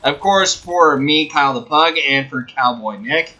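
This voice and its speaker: A man's voice: fairly high pitch, measured pace, bright, forceful tone. A man hosting a gaming channel, chatting and commenting casually. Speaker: host of a gaming video channel.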